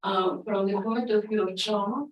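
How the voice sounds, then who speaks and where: A woman's voice: medium pitch, steady tone, normal speed, far from the mic, lo-fi sound, slight background noise. A woman whose first language is Russian, a poet and psychoanalyst, commenting from the audience at a lecture and talking hesitantly with many fillers. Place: lecture room. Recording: roomy hall, voice from off mic.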